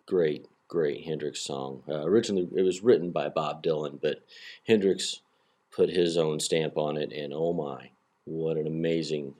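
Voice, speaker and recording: A man's voice: extremely low pitch, a little mellow. A man hosting a solo hobby podcast in a casual, rambling way, with many fillers and restarts, a little nasal from a cold, recorded on a laptop's built-in microphone. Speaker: podcast host.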